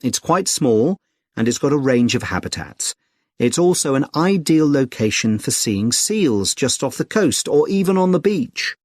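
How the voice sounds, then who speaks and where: A British man as a friendly conservation group secretary, recorded close and dry. His pitch is middling and he explains things politely and clearly in a measured, scripted everyday conversation. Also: sing-song, no echo. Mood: helpful, informative. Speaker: actor in a listening test dialogue.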